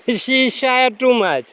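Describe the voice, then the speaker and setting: Slow, high-pitched man, native Urdu speaker, casual outdoor phone vlog, stream noise faint. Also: expressive, fairly bright tone, dry recording. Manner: halting, simple English with many fillers. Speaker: vlogger. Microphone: phone camera, no separate mic.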